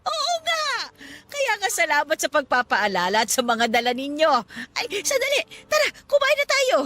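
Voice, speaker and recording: A woman playing a warm, forgetful elderly grandmother in a Filipino radio drama, exclaiming and chuckling as she fusses. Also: extremely high pitch, huge pitch swings, moderate pace, full character voice. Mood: happy. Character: warm, teary, forgetful elderly grandmother who runs a store.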